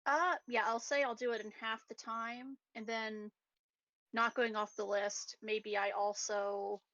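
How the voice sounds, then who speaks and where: A woman's voice: on the high side, level tone, unhurried, fairly bright tone, dry recording, muffled recording. A woman playing a tabletop roleplaying game, talking casually through her choices.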